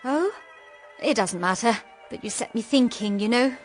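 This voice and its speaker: A woman's voice: on the high side, expressive, normal speed, soft background music, lightly acted. An actress playing a naive, cheerful, sheltered young woman in a period radio drama, with a girlish, upper-class English manner. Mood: unsettled. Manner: gushing, girlish.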